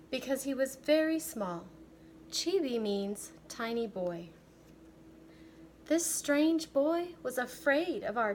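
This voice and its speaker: An American woman reads a children's story aloud in a fairly high voice. Her delivery is very slow and deliberate, with expressive phrasing, like a teacher reading to a class. There is some light noise in the background.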